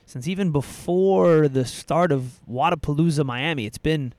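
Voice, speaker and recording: Upbeat male podcast host, a bit high. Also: moderate pace, darkish tone, dry recording. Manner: upbeat, hyping, interviewing. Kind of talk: casual podcast hosting.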